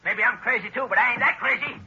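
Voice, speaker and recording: An actor playing a dim-witted, half-crazy backwoods son with a drawling hillbilly manner, in a 1930s radio drama. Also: male voice, very high-pitched, fast, sharp tone, full character voice. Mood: sly. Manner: drawling hillbilly talk, jumpy. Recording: muffled old radio recording, faint hiss.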